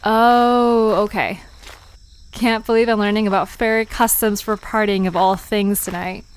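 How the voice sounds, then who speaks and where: A woman's voice: medium pitch, steady tone, a little slow, clear, resonant tone, natural voice, low-quality recording. An actress playing a curious, playful young woman in an audio drama, inquisitive, teasing and a little skeptical. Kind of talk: conversational audio drama acting. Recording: music and crickets behind voice.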